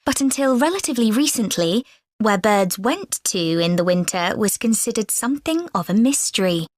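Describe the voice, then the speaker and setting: Woman, clear scripted presenter, mid pitch, dry studio sound. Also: lively intonation, no room sound. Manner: clear, measured delivery. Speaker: lecture speaker in a listening exam recording. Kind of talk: scripted student presentation reading.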